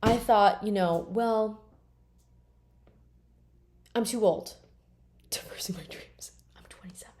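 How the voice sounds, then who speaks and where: A woman's voice: mid-range voice, slow pace, pauses often, darkish tone, slight background noise. Blunt, swearing woman in her twenties. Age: 20s. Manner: blunt and emphatic, swears freely. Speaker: vlogger. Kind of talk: candid personal vlog.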